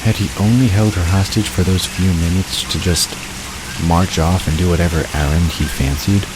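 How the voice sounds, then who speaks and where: Very deep-voiced male narrator over rainy noise. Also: steady tone, normal speed. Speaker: narrator of an audio drama. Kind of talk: scripted story narration. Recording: constant rain-like hiss behind voice.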